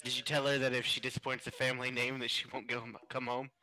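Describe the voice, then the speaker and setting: A man's voice: medium pitch, flat delivery, fast, bright, forceful tone, rough recording. A man co-hosting a podcast, teasing others and laughing often in casual chat. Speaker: podcast co-host.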